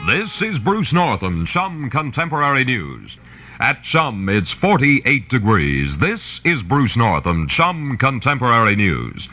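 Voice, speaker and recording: A man's voice: medium pitch, big pitch swings, slow pace, pushed and bright. A man as a radio newscaster, giving a formal 1960s announcer sign-off.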